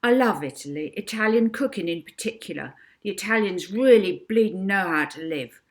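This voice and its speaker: A woman's voice: medium pitch, animated, normal speed, natural voice. A woman voicing a stubborn, colloquial orphanage cook with mild swearing habits in a storybook reading. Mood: enthusiastic.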